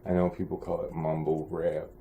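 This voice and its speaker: A young man vlogging in a casual, low-energy, nearly monotone way, with many ums and restarts. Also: moderate pace, gravelly, a little hiss or hum. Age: young adult. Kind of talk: casual vlog talk to camera.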